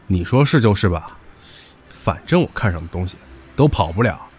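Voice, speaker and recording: A man's voice: low-pitched, very animated, moderate pace, a little mellow. An actor in an audio drama playing a pushy, teasing young man who talks crudely and with swagger.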